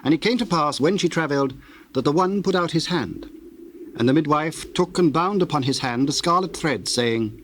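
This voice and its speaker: A man's voice: a little high, expressive, normal speed, fairly bright tone. A man narrating a dramatized scripture reading in a solemn, storytelling style. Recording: hissy old cassette tape, birdsong behind.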